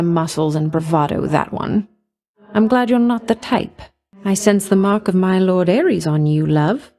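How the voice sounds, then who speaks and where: An actress playing a coquettish, affectionate goddess of love in a video game, speaking in a grand, coy manner full of doting endearments. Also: female voice, medium pitch, a little mellow, close to an everyday voice. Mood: gossipy, coy. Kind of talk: video game character voice lines.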